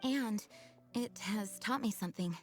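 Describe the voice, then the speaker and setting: Anime game knight leader, female actor: young, gentle, formal, earnest, mid-range voice. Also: mid-range voice, measured pace, lightly acted. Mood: reflective, grateful. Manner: formal, sincere. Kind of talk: anime game dub acting. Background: soft music.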